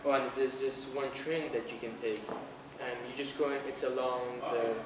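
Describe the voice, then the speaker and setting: A man's voice: mid-range voice, fairly even intonation, normal speed. Hesitant young man, noisy outdoor interview. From Iran. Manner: hesitant, many restarts and fillers. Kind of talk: casual street interview. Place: outdoors on an island, city nearby. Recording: roomy, noisy outdoor camera audio from a distance.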